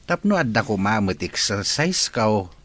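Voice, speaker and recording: A man playing a gentle, grateful elderly father in a radio drama, speaking with an Ilocano accent and an unhurried, reassuring manner. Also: lowish voice, sing-song.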